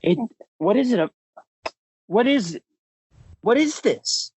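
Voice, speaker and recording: A man's voice: high voice, very animated, takes it slowly, a little mellow, slightly rough. A man co-hosting a podcast, bantering casually with theatrical, exasperated emphasis. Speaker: podcast co-host.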